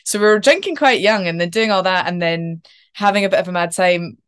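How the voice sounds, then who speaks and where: A woman's voice: fairly low pitch, brisk, clear, resonant tone. Chatty Glaswegian woman on a video call. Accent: Glaswegian Scottish. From Scotland. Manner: chatty and animated, with many ums and likes. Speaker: actor guest. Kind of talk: casual interview chat. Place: home living room, sitting on a couch.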